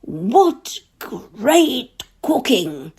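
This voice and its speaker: Woman voicing a slow, deliberate storybook king. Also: fairly high pitch, very animated, vocal fry, darkish tone, husky, heavily acted. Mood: pleased. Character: hungry male king, helpful, decisive and food-loving. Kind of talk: children's storybook character voice.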